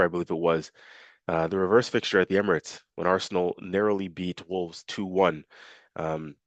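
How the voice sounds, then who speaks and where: Fan channel host, male, low voice, stuffy nose, casual rambling delivery, dry close recording. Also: dry recording. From Canada. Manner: casual, filler-heavy, repeats himself. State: congested, nasal from a cold. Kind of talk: football match preview talk.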